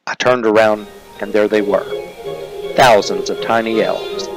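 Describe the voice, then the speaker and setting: A man narrating a comic hard-boiled detective parody in a deadpan, clipped storytelling manner. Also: fairly low pitch, measured pace, soft background music.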